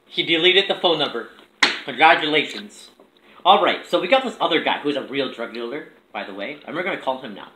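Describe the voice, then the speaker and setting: Young man, casual prank caller, animated intonation, echoey room, faint noise. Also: medium pitch, brisk. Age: young adult. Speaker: prank caller filming himself. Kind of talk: casual chat and prank calling. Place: small room indoors at a table.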